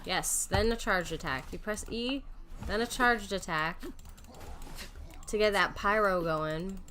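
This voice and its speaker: A woman's voice: mid-range voice, bright, forceful tone, a little hiss or hum. A woman doing casual live video game commentary, chatty and self-mocking as she thinks aloud.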